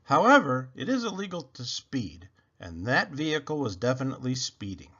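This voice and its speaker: Storybook police officer, male reader: earnest, formal, deliberate, bright and highly animated. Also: highs cut off. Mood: puzzled.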